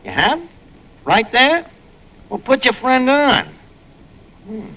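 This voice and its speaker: An actor playing a brash, lowbrow tavern manager in a 1940s radio comedy, with a New York accent and mangled street grammar. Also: male voice, sing-song, slow, bright, forceful tone, highs cut off, exaggerated voice. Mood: brash, coaxing. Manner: ungrammatical street talk with malapropisms. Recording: noisy old radio recording.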